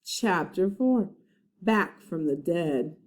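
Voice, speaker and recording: A woman's voice: on the low side, animated, slow. A woman first-grade teacher reading a children's book aloud, explaining things simply and checking that listeners follow.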